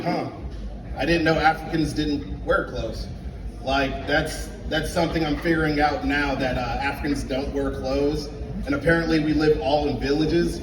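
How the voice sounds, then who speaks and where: A young man from Liberia, high-pitched for a man, tells stand-up stories and acts out every voice in them. He sounds far from the microphone in a club with a long ring of reverb, and room noise sits clearly under him. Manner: animated storytelling, acting out dialogue. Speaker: stand-up comedian. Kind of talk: stand-up comedy set. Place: comedy club stage. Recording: echoey club sound from a distance. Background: audience laughter.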